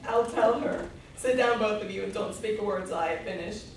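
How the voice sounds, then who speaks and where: A woman's voice: medium pitch, a little fast, vocal fry, close to an everyday voice. A woman in readers' theatre playing a sorrowful, easily offended Mock Turtle with a ponderous manner. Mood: solemn. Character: sorrowful, huffy old Mock Turtle telling schooldays. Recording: echoey noisy room from far off.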